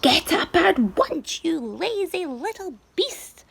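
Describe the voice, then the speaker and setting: A woman voicing a cruel, bossy, tall and bony aunt, shrieking her orders in a high, sharp voice. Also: sing-song, takes it slowly, creaky, slight background noise, full character voice. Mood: harsh, commanding. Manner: screeching, high and commanding.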